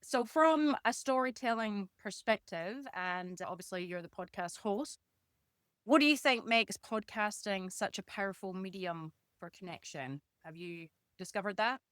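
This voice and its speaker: A woman's voice: mid-range voice, lively intonation, unhurried, a bit bright, clear, resonant tone, no echo, clean studio sound. A woman hosting an interview podcast, introducing her guest and asking questions.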